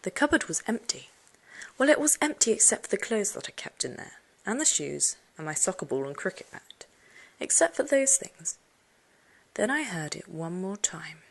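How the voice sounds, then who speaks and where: A woman reading a children's suspense story aloud, expressively, with dramatic hushed moments. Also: mid-range voice, sing-song, moderate pace, gravelly. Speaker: audiobook narrator. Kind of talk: dramatic first-person storybook narration for children.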